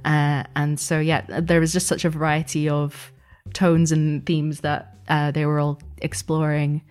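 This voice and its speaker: A woman's voice: deep voice, level tone, a little slow, a little mellow. A woman giving casual, reflective interview answers as a podcast guest. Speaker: podcast guest, audio fiction producer and game master.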